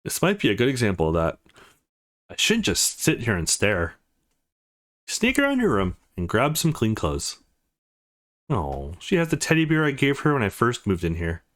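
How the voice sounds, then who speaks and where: A man reading a visual novel aloud as a let's play host, in a casual, expressive style. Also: mid-range voice, moderate pace, long pauses. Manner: expressive, informal.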